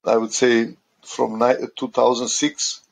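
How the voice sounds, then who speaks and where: A man in his fifties from Croatia with a Croatian accent, answering interview questions in a halting way with many pauses and fillers. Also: medium pitch, little pitch movement, vocal fry. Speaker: asset condition manager, guest.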